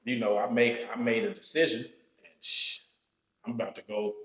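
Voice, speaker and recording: A man in his thirties, a podcast guest telling his own story in a slow, reflective, casual way, often checking in with "you know what I'm saying". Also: medium pitch, flat delivery, a crowd in the background. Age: 30s. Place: podcast studio room. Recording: roomy studio echo.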